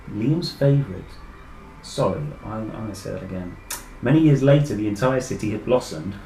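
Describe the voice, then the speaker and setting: A British man reading a picture book aloud to children, enthusiastic and expressive, chatting directly to his young listeners. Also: fairly low pitch. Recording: echoey room with faint background noise.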